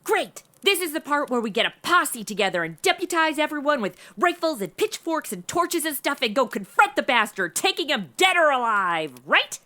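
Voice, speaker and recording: A woman playing a sassy, sarcastic modern American young woman in a comedy audio drama, speaking in animated slang. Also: high voice, moderate pace, few pauses, heavily acted. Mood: excited, silly. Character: sassy, bored modern young woman stuck in Old West.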